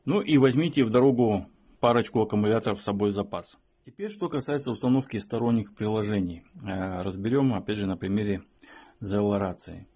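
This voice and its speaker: A man's voice: lowish voice, a little mellow, husky. A man giving an informal product review and tutorial, explaining things in a matter-of-fact way. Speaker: phone reviewer.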